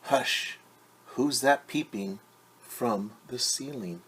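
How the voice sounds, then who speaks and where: A man reading a picture book aloud to young children as a teacher, with sing-song refrains and hushed, whispered asides. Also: mid-range voice, takes it slowly, a little hiss or hum.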